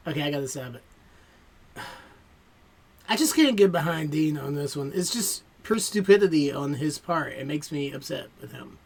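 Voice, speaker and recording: A man's voice: a little high, animated, normal speed, creaky, breathy edge. A man giving casual, exclamatory reaction commentary, talking back to the show he watches.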